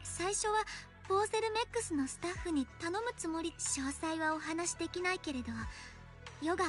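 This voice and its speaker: High, rapid young anime girl. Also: faint music behind. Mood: earnest, concerned. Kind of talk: Japanese anime game voice acting.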